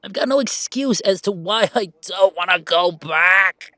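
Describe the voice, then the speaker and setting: A man playing an earnest, playful young werewolf gamer in an intimate, conversational roleplay audio, speaking in a halting, emphatic way. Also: high-pitched, no echo, studio quality. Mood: weary, pleading. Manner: halting, emphatic, expressive, with sighs and chuckles.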